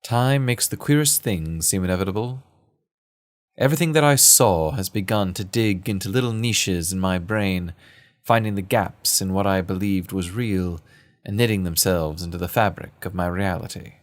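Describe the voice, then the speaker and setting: A man's voice: sits low, moderate pace, lightly acted. A man acting a brooding millionaire playboy turned hired muscle in a noir audio drama, reflective and literary in his delivery.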